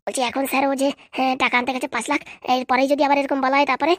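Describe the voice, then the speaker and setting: A woman's voice: sits high, little pitch movement, racing, fairly bright tone, no room sound, studio quality. A woman playing a sly, greedy nurse in a comedy cartoon, chatty, pushy and wheedling. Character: sly, greedy female nurse in a hospital scam.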